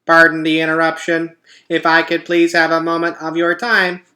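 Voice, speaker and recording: A perky storybook robot lady, played by a male reader, polite and cheerful. The voice sits high and rings out brightly, delivering formal announcements in a friendly, orderly way. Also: completely flat delivery, normal speed. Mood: perky, welcoming. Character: cheerful, polite female robot addressing her animal friends.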